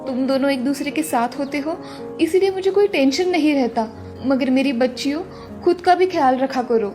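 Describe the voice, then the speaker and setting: A woman's voice: high voice, moderate pace, lightly acted. A woman voicing a loving middle-aged mother with a tender, motherly manner in a cartoon story. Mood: tender, caring. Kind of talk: Hindi cartoon story dubbing. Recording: music behind the voice. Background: music.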